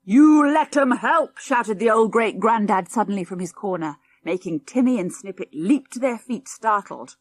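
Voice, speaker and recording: A woman narrates a children's adventure audiobook. Her pitch sits in the middle, and she tells the scripted story expressively. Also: moderate pace, few pauses, no room sound.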